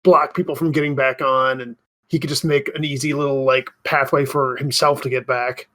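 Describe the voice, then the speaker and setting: A man's voice: medium pitch, little pitch movement, normal speed, a bit bright. A man co-hosting a gaming podcast, chatting casually and informally with some swearing.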